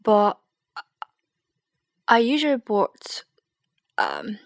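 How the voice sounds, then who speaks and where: A young woman with a Chinese accent, rambling hesitantly and softly in a late-night solo podcast. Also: medium pitch, huge pitch swings, very slow, vocal fry, no echo, clean studio sound. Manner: hesitant, rambling, soft and sleepy. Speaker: podcast host.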